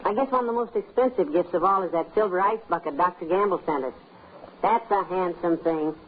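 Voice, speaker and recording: An American woman playing a patient, gently teasing housewife with a dry manner in a 1950s radio comedy. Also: on the low side, fairly quick, close to an everyday voice. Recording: tinny, faintly noisy old radio recording.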